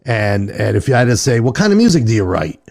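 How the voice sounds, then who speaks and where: A man's voice: lowish voice, sing-song, quick pace, gravelly, a little mellow. A man hosting a talk show, asking wordy, conversational questions in a casual interview style.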